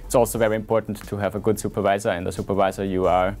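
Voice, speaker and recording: A man's voice: fairly low pitch, flat delivery, racing, darkish tone, soft background music. A young man in his twenties from Austria, speaking English, talking earnestly with many fillers as a PhD student in an interview. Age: 20s. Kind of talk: scientist portrait interview.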